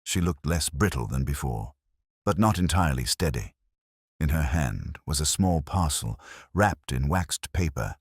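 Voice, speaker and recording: A man narrates a detective mystery in an extremely low voice. His delivery is measured and literary, with lively movement in the pitch. The sound is close and dry. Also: slightly rough, dry recording. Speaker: story narrator.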